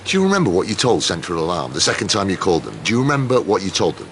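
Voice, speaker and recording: A low-voiced, fast-talking police detective, gruff and impatient. He interrogates bluntly and swears in exasperation, in a radio drama with faint noise behind him. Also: wildly swooping pitch, a bit bright, full character voice. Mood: angry, scornful.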